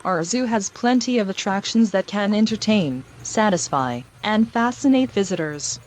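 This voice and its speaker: A woman voicing a zoo builder who gives a formal, scripted promotional pitch in a mock news interview. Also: expressive, moderate pace, darkish tone, close to an everyday voice.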